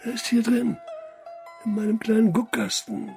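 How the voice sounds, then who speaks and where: An actor playing a wry, knowing puppeteer in a radio drama, speaking in a measured, teasing way with a husky voice. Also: male voice, sits high, fairly even intonation, darkish tone, soft background music. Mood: sly. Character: wry, unhurried male puppeteer of the old town, knowing and secretive. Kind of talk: German radio play acting.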